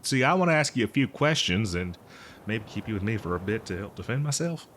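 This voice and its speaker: A man's voice: fairly low pitch, lively intonation, fairly bright tone, a little hiss or hum. A man playing an eccentric, cheerful botanist who survived from before the apocalypse, rambling hesitantly in a folksy roleplay monologue. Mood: brisk, dismissive. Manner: hesitant, rambling, folksy, with chuckles and drawn-out words. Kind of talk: roleplay audio drama monologue addressed to listener.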